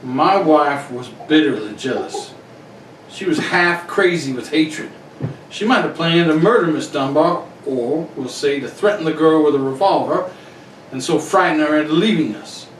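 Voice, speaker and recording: A man's voice: on the high side, normal speed, lightly acted. A man playing a proud, defensive wealthy husband with a blunt, formal manner in a radio play. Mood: grave. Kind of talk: amateur radio-play acting. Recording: roomy, distant recording with faint noise.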